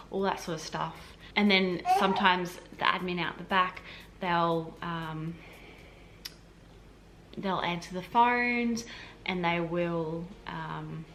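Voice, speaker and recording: A woman's voice: lowish voice, pushed and bright, a little hiss or hum, poor audio. An Australian woman, a hospital admin officer, chatting casually to camera in a rambling way with lots of fillers and restarts. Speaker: health administration officer and vlogger. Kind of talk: casual vlog explaining to camera.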